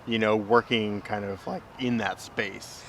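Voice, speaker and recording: A man's voice: fairly low pitch, fairly even intonation, unhurried, a bit bright. A man hosting a casual chat interview, asking questions and explaining in an informal way. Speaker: vlog host interviewing his writing partner. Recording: clearly noisy outdoor urban ambience.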